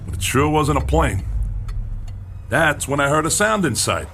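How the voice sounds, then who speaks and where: A man's voice: on the high side, sing-song, measured pace, fairly bright tone, slight background noise, heavily acted. An actor playing an earnest, impatient male writer in a radio drama, with an insistent, matter-of-fact, clipped delivery. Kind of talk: radio drama acting, conversational.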